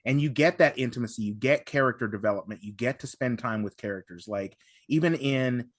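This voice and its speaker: A man on a podcast panel giving casual analysis, halting and repeating words as he builds his point. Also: mid-range voice, dry recording, studio quality.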